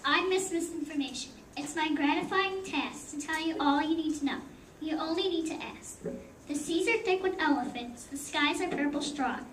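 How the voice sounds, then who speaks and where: A woman's voice: high voice, normal speed, a bit bright. A teenage girl playing a proudly silly female know-it-all, declaiming rhyming verse in a sing-song, theatrical way. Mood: proud, gleeful. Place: indoor room at a library performance. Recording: roomy, distant mic, faint noise.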